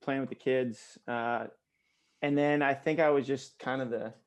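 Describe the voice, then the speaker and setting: A man's voice: medium pitch, fairly even intonation, unhurried, clean tone. Man, 20s, podcast guest, casual chat, laughs a lot, filler words, studio mic. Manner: laughs often, many "like" fillers. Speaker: podcast guest, pro paintball player.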